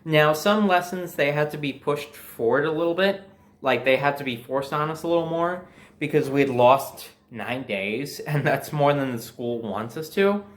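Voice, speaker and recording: A man's voice: a little high, normal speed. Young American man telling a story casually. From New Jersey, United States. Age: young adult. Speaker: storyteller, host.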